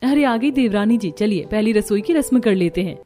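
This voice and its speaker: A woman's voice: mid-range voice, lively intonation, normal speed, warm tone, natural voice. A woman voicing a dutiful, overworked housewife in a cartoon family story, polite and plaintive. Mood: eager. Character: dutiful, overworked housewife elder sister-in-law, meek.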